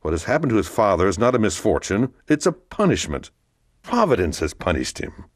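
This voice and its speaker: A man's voice: fairly low pitch, big pitch swings, a little fast, no echo, studio quality, voice pushed up. A man voicing a cold, vengeful middle-aged nobleman who speaks in a stern, bitter, accusing way.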